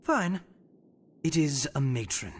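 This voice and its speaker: An actor voicing a sly, glib broker for an assassins' guild in a video game, teasing and theatrical. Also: male voice, a little high, lively intonation, slow. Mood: mocking, commanding. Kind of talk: dramatic video game voice acting.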